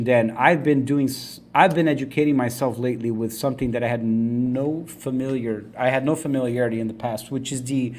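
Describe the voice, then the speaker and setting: A man's voice: medium pitch, a little mellow, slight background noise. Upbeat man hosting a podcast. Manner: upbeat and enthusiastic. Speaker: podcast host. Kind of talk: casual interview conversation.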